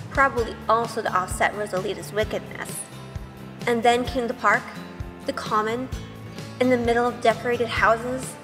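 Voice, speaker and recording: A woman's voice: a little high, in a large hall, close to an everyday voice. An actress playing a sheltered, good-hearted young woman turned witch, narrating her own audio drama story with wonder. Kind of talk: first-person audio drama narration with in-scene dialogue.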